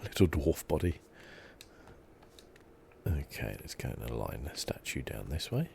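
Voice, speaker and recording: A man giving soft-spoken ASMR commentary as he plays a game, hushed, relaxed and meandering, close to the mic. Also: very deep voice, measured pace, vocal fry, slight background noise. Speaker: ASMR gaming host.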